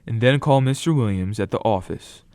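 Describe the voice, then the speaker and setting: A man's voice: medium pitch, normal speed, a little mellow, no echo, studio quality, natural voice. A man playing an impatient, commanding lawyer father in a radio drama. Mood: businesslike. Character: impatient, businesslike lawyer father from New York. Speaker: amateur radio drama actor.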